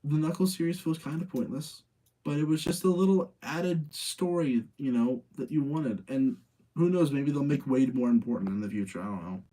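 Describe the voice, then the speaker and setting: A man with a mellow, dark-toned voice of average pitch hosts a casual stream. He sounds tired, as if up late. He rambles through his opinions, often repeating himself while checking in with his audience. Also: normal speed, low-quality recording. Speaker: streamer hosting a movie rating session.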